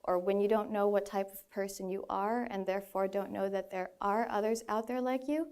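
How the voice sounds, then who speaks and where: Twenty-something woman from the philippines doing a stage talk, earnest, gravelly edge but smooth and clear. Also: medium pitch, steady tone, brisk, darkish tone. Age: 20s. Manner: earnest, with light self-deprecating laughs. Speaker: speaker. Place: stage in a large hall.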